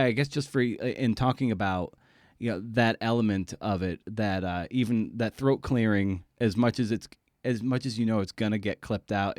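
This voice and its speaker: Low-voiced, irreverent male podcast host. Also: darkish tone, no room sound, clean studio sound. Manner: irreverent, slangy, casually profane. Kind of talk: casual, conversational podcast narration and interviewing.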